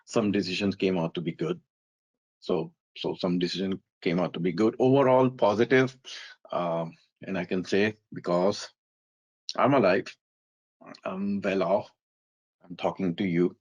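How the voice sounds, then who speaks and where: A man's voice: deep voice, steady tone, a little slow, pauses often, a little mellow, dry recording, highs cut off. A middle-aged man from South Asia and the United States giving an informal talk on trading psychology. He thinks aloud, meanders and restarts his sentences often. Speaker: trading educator.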